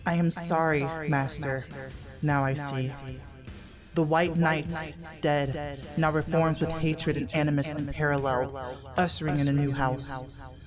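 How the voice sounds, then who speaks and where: A woman performs a devoted occultist seer in a dark fantasy tale. She intones visions gravely, like a ritual chant. Prominent music sits under the voice. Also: low voice, level tone, a little slow. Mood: prophetic, reverent. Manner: solemn, incantatory. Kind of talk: dramatic fantasy lore reading.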